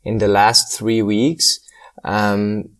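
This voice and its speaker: Man, hesitant, low-voiced. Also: level tone, very slow, a bit bright. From Switzerland. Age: 30s. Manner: hesitant, many ums, slightly non-native phrasing. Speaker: guest, circus acrobat. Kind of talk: casual interview conversation.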